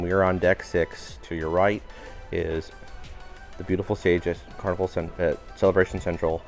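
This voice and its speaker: A man giving an upbeat walking tour of a cruise ship, his voice a little raspy today. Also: low voice, a little mellow. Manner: upbeat, enthusiastic guiding. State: slightly raspy voice. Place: indoor public areas of a cruise ship.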